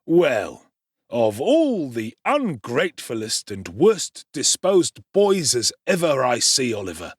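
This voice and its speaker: A man's voice: mid-range voice, huge pitch swings, slow pace, breathy edge, no echo, studio quality, pitched above the performer's own voice, full character voice. A man doing a blustering pompous beadle. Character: pompous, blustering middle-aged male parish official.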